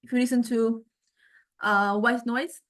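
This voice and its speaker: A woman giving an informal software demonstration lecture, hesitantly thinking aloud and searching for words as she explains. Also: a little high, fairly even intonation, unhurried, a little hiss or hum. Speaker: music technology lecturer.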